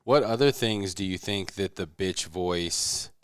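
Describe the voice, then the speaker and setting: Deep voiced podcast guy, smooth and clean, motivational chat, repeats phrases to make his point. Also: a little slow, no room sound. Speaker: podcast co-host.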